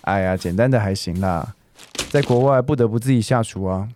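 An actor playing a caring, health-conscious man around forty in a radio drama, gentle and chatty, with Taiwanese Mandarin speech. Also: fairly low pitch, brisk, background noise, close to an everyday voice. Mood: easygoing, encouraging.

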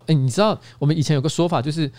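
Male podcast host, Taiwanese Mandarin, higher-pitched and fast-talking, playful teasing banter. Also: a little mellow, dry recording. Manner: teasing, mock-scolding, quick to laugh. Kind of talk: casual comedic podcast chat.

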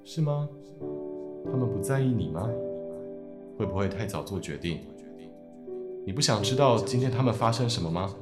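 A man playing an otherworldly messenger spirit who guides the dead, with a calm, gently probing manner. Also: on the low side, moderate pace, pauses often, lightly acted. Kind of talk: radio drama acting. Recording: echoey with loud music.

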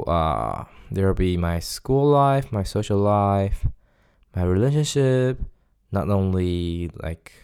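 A man in his twenties from Taiwan, with a Taiwanese accent and Mandarin Chinese as his first language, hosting a casual solo podcast and pausing often to search for words. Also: low-pitched, vocal fry, darkish tone, clear, resonant tone. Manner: hesitant, searches for words with many pauses. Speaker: podcast host.

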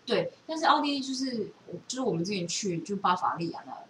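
A woman's voice: medium pitch, animated, fast talker. A woman with a Taiwanese Mandarin accent chatting casually, retelling things in a rambling, loosely strung way. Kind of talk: casual chat, retelling a book. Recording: echoey room, distant mic, background noise.

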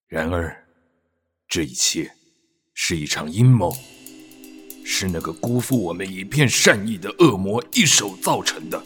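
A man's voice: extremely low pitch, fairly bright tone, husky, full character voice. A man playing a grave middle-aged aristocrat in a radio drama, with solemn, theatrical delivery. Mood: anguished, vengeful. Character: grave middle-aged aristocratic father, reading a grieving letter. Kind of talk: Mandarin radio drama acting.

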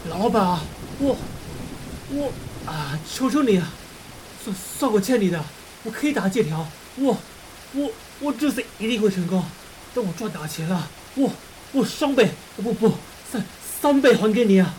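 A woman's voice: mid-range voice, lively intonation, normal speed, a little mellow, slight background noise, lightly acted, poor audio. A woman in an audio drama playing a desperate businessman who stammers and begs breathlessly. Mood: desperate begging. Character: desperate, pleading businessman, a husband and father.

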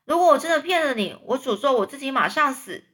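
A woman's voice: sits high, animated, fast talker, a bit bright, pitched above the performer's own voice. A woman reading a storybook voices a mischievous young boy who pleads earnestly with his aunt. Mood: pleading. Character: cheeky young boy caught in a lie.

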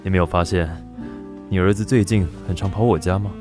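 A sly pastry chef dad teases in a calm, very deep murmur, as a man acts him. Music plays clearly under this audio drama. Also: a little fast, close to an everyday voice. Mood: teasing. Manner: calm, teasing, low murmur.

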